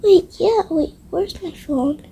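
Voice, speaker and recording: A female creator with a very high, soft-toned voice talks through a game roleplay at a very slow pace. She drifts into hesitant, half-whispered asides, as if thinking aloud. Faint noise sits behind her. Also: poor audio. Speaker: video creator. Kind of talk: improvised game roleplay narration.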